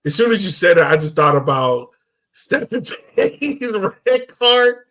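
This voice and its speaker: A man's voice: high voice, very animated, unhurried, fairly bright tone. A man hosting a casual sports podcast, talking informally and in slang to his co-hosts and listeners. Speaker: podcast host.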